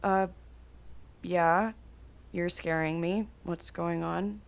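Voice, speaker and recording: A woman's voice: on the low side, fairly even intonation, takes it slowly, gravelly. A woman acting an inquisitive, impatient young daughter in a stage play, blunt and full of questions. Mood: worried.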